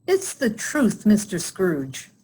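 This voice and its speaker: A woman's voice: medium pitch, huge pitch swings, slow pace, a little mellow, slight background noise, rough recording. A woman playing a polite, earnest lady collecting for charity in a radio play, courteous and deferential. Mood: restrained, courteous.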